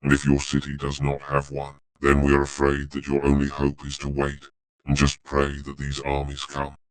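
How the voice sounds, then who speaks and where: A synthetic male text-to-speech voice reading a formal, flat, scripted emergency alert announcement. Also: rumbling low, normal speed, smooth.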